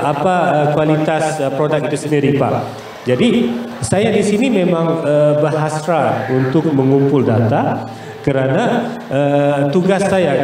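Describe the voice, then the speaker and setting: A man's voice: fairly high pitch, mellow. Echoey Malaysian man explaining in an interview. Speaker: business guest, investor and trade promoter. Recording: echoey, distant mic with faint noise.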